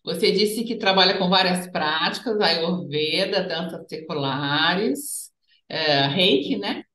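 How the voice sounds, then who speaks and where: A woman's voice: lowish voice, expressive, takes it slowly, a bit bright, echoey room. A woman interviewing a guest over a video call, prompting her with short, encouraging questions.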